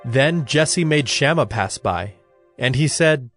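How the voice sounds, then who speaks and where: A man narrating a scripted Bible story in a formal, measured storytelling manner. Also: mid-range voice, expressive, fairly bright tone. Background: music.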